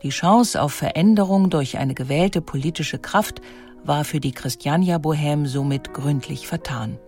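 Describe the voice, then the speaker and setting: Documentary narrator, mid-pitched voice, scripted delivery, faint music underneath. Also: measured pace, a little mellow.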